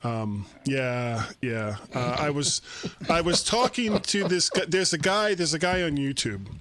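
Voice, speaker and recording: A man's voice: a little high, lively intonation, a little slow, fairly bright tone, slight background noise. An older man hosting a casual tech podcast on a video call, rambling and chatty, laughing easily, from a home room into a studio microphone. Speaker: podcast host. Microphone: studio microphone on a boom.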